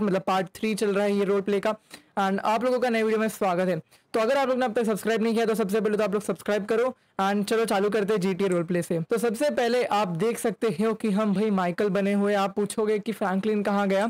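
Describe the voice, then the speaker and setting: A man's voice: high-pitched, no room sound, rough recording. A young man giving chatty, casual gameplay commentary, mixing in English words, close on a desk mic. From India. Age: young adult. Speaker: gaming YouTuber. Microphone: desk microphone close to mouth.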